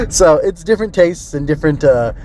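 A man's voice: a little high, darkish tone, a little hiss or hum. A middle-aged man hosting a solo podcast, talking casually and rambling with digressions. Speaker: podcast host. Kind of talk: casual solo review chat.

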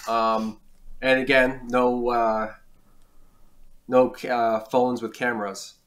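A man in his forties, a film director, telling stories in a relaxed, casual way as an interview guest. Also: mid-range voice, slow pace.

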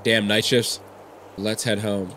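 A man's voice: flat delivery, slow pace. Nasal man with a cold, jumpy. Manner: jumpy, swearing, yelps in high bursts when startled. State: getting over a cold. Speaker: gaming streamer. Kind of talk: horror game commentary. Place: indoors at a gaming desk. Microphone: headset mic. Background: game music.

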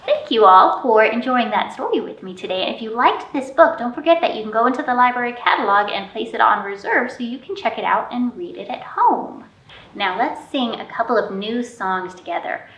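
A woman's voice: mid-range voice, pushed and bright, a little hiss or hum. A woman in her thirties hosting a library storytime, talking to small children in simple, encouraging language with questions and praise. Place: indoor library room with painted mural. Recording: roomy, distant camera pickup.

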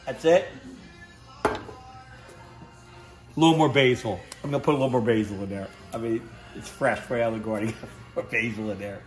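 A man's voice: medium pitch, normal speed, pauses often, faint music behind. A man in his fifties filming himself on a phone while he cooks at home, raving about fresh ingredients and repeating his catchphrases. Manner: enthusiastic, repeats catchphrases, sniffs and tastes food while talking. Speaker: home cooking vlogger. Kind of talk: casual cooking vlog. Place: home kitchen indoors, then outdoor deck at a grill. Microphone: handheld phone camera.

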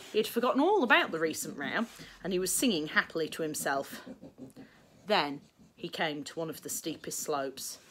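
A middle-aged English woman reading a children's story aloud in expressive narration. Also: on the low side. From England. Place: small book-lined room indoors.

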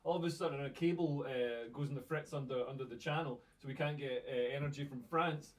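A man's voice: medium pitch, brisk, distant mic. A man speaking as a guest panelist in a small studio chat, fluent and sardonic. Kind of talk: casual political chat. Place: small studio with leather armchairs.

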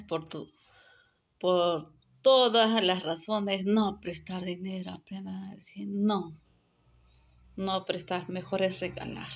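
A young woman speaks in a slightly low voice. She gives earnest advice straight into a phone held close to her face, circling back to the same points. Now and then her voice sinks to a soft near-whisper. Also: creaky. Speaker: vlogger giving advice. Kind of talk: casual advice monologue to camera.

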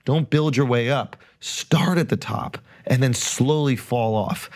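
A man's voice: medium pitch, unhurried, a little mellow. A man in his forties, a podcast host, reading ads and joking in brash, playful slang from a studio set. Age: 40s. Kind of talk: casual banter and read ads.

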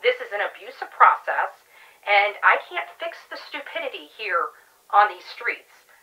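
A woman's voice: mid-range voice, very animated, measured pace, bright, forceful tone. A woman talking off the cuff, defensive and insistent, repeating her assurances, in tinny phone-like audio. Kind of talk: defensive unscripted talk.

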